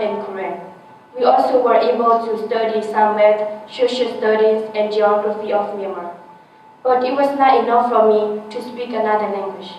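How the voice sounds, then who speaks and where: A teenage girl born in Thailand reads her personal essay aloud with careful, halting phrasing and small restarts, recorded from a distant mic in an echoey room. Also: on the high side, dull audio, a little hiss or hum. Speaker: essay contest winner.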